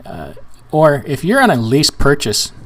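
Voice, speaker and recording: Hoarse man explaining a tax form. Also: on the high side, huge pitch swings, unhurried, fairly bright tone. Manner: casual, rambling with restarts and fillers. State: hoarse, weak voice. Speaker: trucking channel host. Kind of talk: screen-share tutorial walkthrough.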